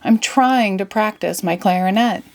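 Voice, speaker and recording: A woman's voice: mid-range voice, lightly acted. A woman voicing an irritable, snarling male cartoon squid neighbour in a storybook. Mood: annoyed. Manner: snarling, drawn-out, annoyed.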